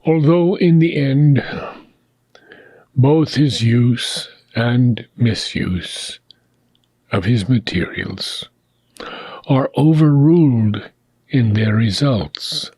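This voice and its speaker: Slow, husky man reading solemnly. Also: medium pitch, expressive, mellow, no echo. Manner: solemn, deliberate phrasing with frequent pauses. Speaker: reader of a spiritual philosophy book. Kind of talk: scripted audiobook reading.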